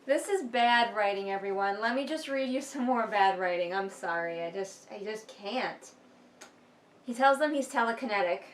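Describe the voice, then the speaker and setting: A woman's voice: medium pitch, normal speed, roomy sound, a little hiss or hum. A woman giving casual, mocking commentary as she reads along with a book, sarcastic and exasperated. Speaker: host of a book read-along.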